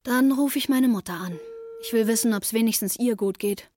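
A woman's voice: a little high, moderate pace, a little mellow, lightly acted. An actress playing an intense, troubled young woman who narrates her breakdown in a wry, matter-of-fact way in a radio play.

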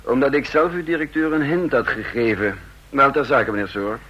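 A man's voice: mid-range voice, moderate pace, bright, forceful tone, breathy edge, dull audio. An actor playing a shrewd male counterintelligence chief who also narrates the radio drama, speaking with dry, self-assured irony. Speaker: narrator and lead character. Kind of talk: vintage radio drama acting.

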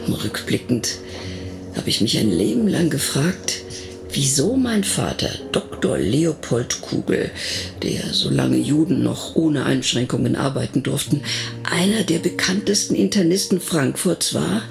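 Creaky, reflective elderly storyteller. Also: male voice, on the high side, expressive, measured pace. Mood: wistful. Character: reflective elderly woman recalling her childhood flight. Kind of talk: radio drama reminiscence. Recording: plucked guitar music behind.

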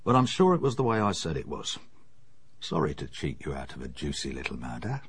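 An actor playing a bluff, chummy middle-aged English police inspector in a radio drama, matter-of-fact and worldly. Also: male voice, rumbling low, very animated, brisk, a little hiss or hum. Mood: chummy, knowing.

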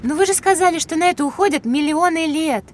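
A woman's voice: very high-pitched, fast talker, noisy background. A woman voicing a curious, sceptical young schoolgirl with an earnest, inquisitive manner in an educational audio drama.

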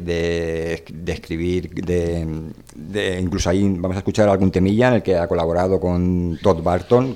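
A man's voice: low-pitched, dark tone. A man from hosting a casual, rambling literary radio discussion, hesitantly repeating words as he searches for phrasing. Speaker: radio show host.

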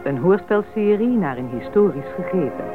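A man playing a solemn announcer of a radio drama serial, speaking in a slow, declamatory way. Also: high-pitched, animated, narrow, tinny audio. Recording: thin band-limited old radio, music behind.

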